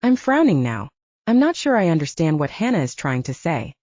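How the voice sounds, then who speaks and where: A woman reading fiction as a first-person narrator, with expressive, measured storytelling. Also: deep voice, no room sound, lo-fi sound. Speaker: audiobook narrator.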